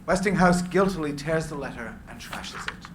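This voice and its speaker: A man's voice: on the high side, lively intonation, normal speed, echoey room, background noise. A man reading screenplay narration and stage directions at a live script reading.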